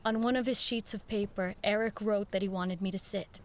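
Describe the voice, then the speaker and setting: A woman's voice: mid-range voice, steady tone, a little fast, natural voice. An actress playing an anxious, earnest woman who recounts a horrifying ordeal in an intense, theatrical audio drama style. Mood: haunted, grave. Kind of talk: dramatic first-person horror narration with acted dialogue. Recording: music under the voice. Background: dramatic music.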